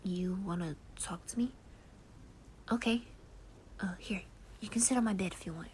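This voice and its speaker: A woman voicing a gentle young boy who comforts his best friend in an ASMR roleplay, speaking softly and often in a whisper. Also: mid-range voice, normal speed, slight background noise, lightly acted. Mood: soothing. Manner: soft, soothing, often whispered.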